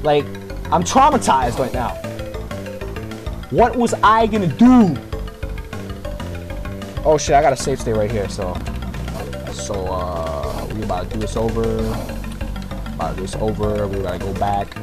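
Slangy young-sounding man, animated game commentary. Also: fairly low pitch, unhurried, long pauses, music in the background. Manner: slangy, rambling, complaining. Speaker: gamer making a playthrough video. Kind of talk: casual, profane game commentary.

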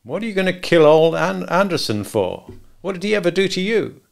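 A man's voice: high voice, very animated, brisk, creaky, voice pushed up, exaggerated voice. A man reading a terse, wary counterman. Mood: guarded. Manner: terse, guarded answers. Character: wary male lunch counter worker, guarded.

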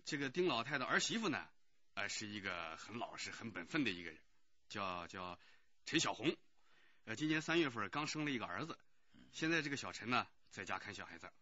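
Radio drama committee director: effusive gossip, very bright and husky, swooping intonation. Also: male voice, on the low side, sing-song, brisk, full character voice. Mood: gossipy, explanatory. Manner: effusive, hesitant, gossipy, full of interjections. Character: chatty, eager-to-help male neighbourhood committee director. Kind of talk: Chinese radio drama acting. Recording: dull, muffled radio drama recording.

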